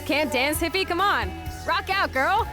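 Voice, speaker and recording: A woman's voice: very high-pitched, animated, a little slow, over music. An actress playing a rebellious, foul-mouthed teenage punk girl in a video game, brash, slangy and sarcastic. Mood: playful, rowdy.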